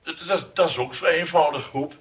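A man's voice: on the high side, moderate pace, close to an everyday voice. An actor playing a fussy, flustered umbrella salesman in a comic radio play, stammering and repeating himself in overly polite patter. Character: fussy, flustered umbrella shop salesman, eager but clumsy. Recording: noisy old radio play recording.

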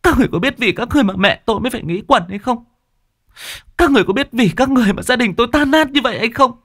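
A man voicing an enraged, grieving young woman in a story, shrill, tearful, defiant and accusing. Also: expressive, rapid-fire, fairly bright tone, no room sound, clean studio sound, heavily acted. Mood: tearful fury.

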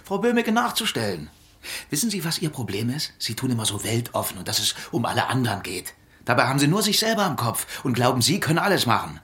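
A man's voice: mid-range voice, sing-song, quick pace, a bit bright. A man playing a sarcastic, pushy police detective in a radio drama interrogation. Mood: accusing. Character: needling, confrontational male police detective.

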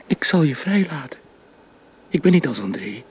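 A man's voice: a little high, very animated, moderate pace, darkish tone, highs cut off, a little hiss or hum. An actor playing a restless man who urges the woman he loves to leave with him, earnest and pleading. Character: Jaap, restless ardent suitor. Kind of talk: radio play acting.

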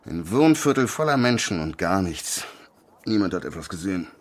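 An actor playing a weary, gruff police detective in a radio drama, terse and coarse-mouthed, used to giving orders. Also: male voice, low voice, very animated, normal speed. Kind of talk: naturalistic German radio drama acting.

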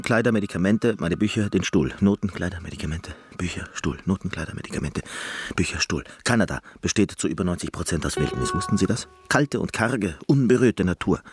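An actor playing a reclusive, neurotic Canadian pianist in a radio drama, brooding and repeating his phrases compulsively. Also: low voice. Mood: fussy, listing, then brooding, absorbed.

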